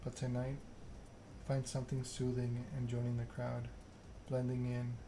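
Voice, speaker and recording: Male ASMR narrator reading second-person fiction. Measured, unhurried, narrow pitch range, with clear background noise. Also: completely flat delivery. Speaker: video game streamer reading game text aloud.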